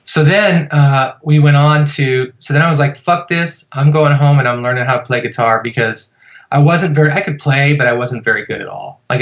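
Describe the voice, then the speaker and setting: Male podcast guest, mid pitch, loose rambling storyteller, slightly muffled audio. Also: fairly bright tone. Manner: rambling, many fillers and restarts. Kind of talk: casual storytelling interview.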